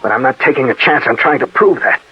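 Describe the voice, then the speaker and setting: An actor playing a guilty, cornered police detective in a 1940s radio drama, evasive, terse and edgy. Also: male voice, mid-range voice, expressive, fast, breathy edge. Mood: cold, desperate. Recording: muffled, faintly noisy old radio recording.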